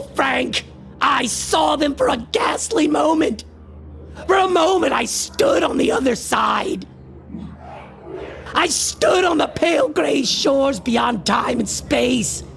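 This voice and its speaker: A man's voice: very high-pitched, vocal fry, fairly bright tone, heavily acted. A male actor playing a frantic, obsessed occultist in a horror radio play, declaiming in a theatrical, grandiose style. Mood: terrified raving.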